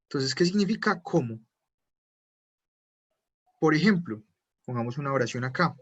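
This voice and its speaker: A man's voice: mid-range voice, animated, normal speed, a bit bright. A man teaching German in an online class, explaining patiently step by step with frequent fillers. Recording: dull lo-fi online class audio.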